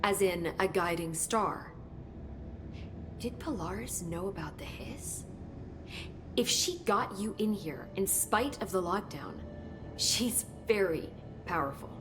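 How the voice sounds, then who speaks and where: An actress playing an analytical female researcher who explains findings in a measured way. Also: mid-range voice, lively intonation, over music. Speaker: research scientist. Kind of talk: video game dialogue.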